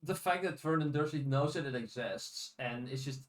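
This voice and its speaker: A man's voice: mid-range voice, normal speed, roomy sound. A man co-hosting a podcast in casual conversation, often repeating words as he starts his sentences. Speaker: podcast co-host. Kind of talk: casual discussion of audiobooks.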